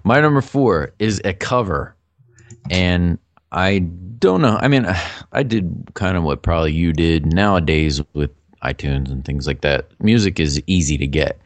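A middle-aged man with a low voice, co-hosting a casual podcast chat in a chatty, rambling way. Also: expressive, a little slow, dry recording. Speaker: podcast co-host.

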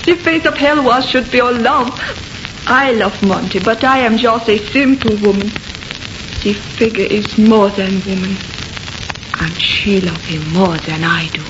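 An actress playing an anxious, jealous young French wife who speaks insistently in accented, broken English in a radio horror drama. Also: female voice, medium pitch, measured pace, lightly acted. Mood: jealous, earnest. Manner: earnest, insistent, non-native grammar. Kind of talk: old-time radio horror acting. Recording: muffled, noisy old radio recording.

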